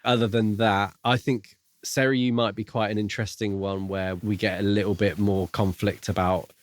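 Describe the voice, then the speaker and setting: Guy with a lowish voice chatting books on a podcast, kinda hedges, really dry sound. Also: little pitch movement, moderate pace, dry recording. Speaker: podcast co-host. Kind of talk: casual book discussion.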